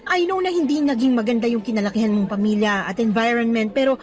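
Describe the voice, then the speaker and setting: A woman's voice: fairly high pitch, fast talker, close to an everyday voice. An actress playing a firm, exasperated young female teacher in a radio drama, lecturing sternly in Tagalog mixed with English. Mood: stern, admonishing.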